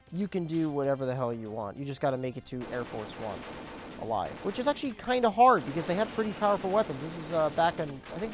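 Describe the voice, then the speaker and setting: A young man casually commentating his gameplay, explaining objectives as he goes, his voice cracking and squeaking now and then. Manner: chatty, explains objectives as he plays. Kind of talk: casual let's play commentary.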